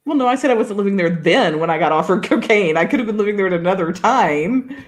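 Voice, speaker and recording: A woman's voice: medium pitch, lively intonation, fast talker. A woman with a Texan accent co-hosting a podcast, chatting in a dry, easygoing way and laughing often. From Texas. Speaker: podcast co-host. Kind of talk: casual chat over a video call.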